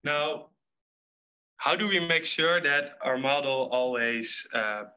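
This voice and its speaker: Young male speaker, very bright and crisp-edged tone, explaining slides in a halting keynote delivery. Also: fairly high pitch. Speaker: data scientist, keynote speaker. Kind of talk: conference presentation, explaining slides. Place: indoor stage with screens.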